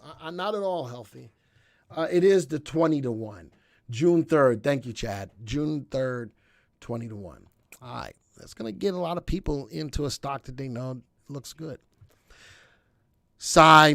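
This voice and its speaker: Hoarse gravelly man hosting a live stream. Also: mid-range voice, fairly bright tone, no room sound. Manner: folksy, rambling, thinks aloud. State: losing his voice. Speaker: market analysis show host. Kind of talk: live-stream commentary reading viewer chat.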